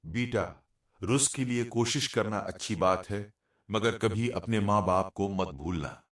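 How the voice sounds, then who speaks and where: A man acts out an older village father from a moral tale, grave and gentle, sad yet hopeful. The voice is on the low side, with strong echo around it. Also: unhurried, lightly acted. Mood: gently advising. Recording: echoey room, distant from the mic.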